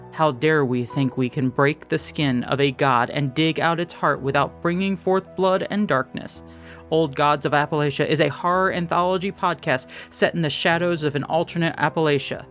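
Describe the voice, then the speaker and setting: A man's voice: on the high side, a bit bright. A man reading scripted credits and promos as a podcast announcer. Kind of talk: scripted narration. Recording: music under the voice. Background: music with guitar.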